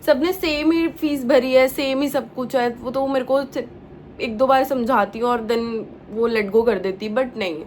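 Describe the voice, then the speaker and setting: A woman's voice: high-pitched, unhurried, darkish tone, slight background noise. A young woman from India, a native Punjabi speaker, chatting casually in a vlog and sprinkling English words and fillers into her talk. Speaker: vlogger. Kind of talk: casual chat.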